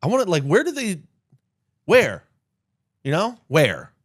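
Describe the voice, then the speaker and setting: A man's voice: high voice, huge pitch swings, a little slow, no echo, clean studio sound. A man co-hosting a podcast in loose, comedic banter, slangy and swearing often. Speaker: podcast co-host.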